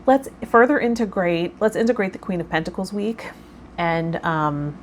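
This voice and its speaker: A woman's voice: on the low side, animated, normal speed. A woman hosting a personal vlog, talking casually in a rambling, self-effacing way. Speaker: host of a tarot vlog. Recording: clear background noise.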